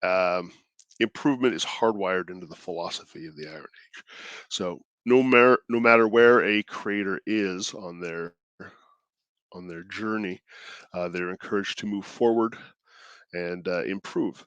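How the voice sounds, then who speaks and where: A man with a stuffed-up cold voice, hosting his own channel in casual, halting commentary, mild-mannered and thinking aloud. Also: sits low, a bit bright, no echo. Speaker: indie creator and channel host.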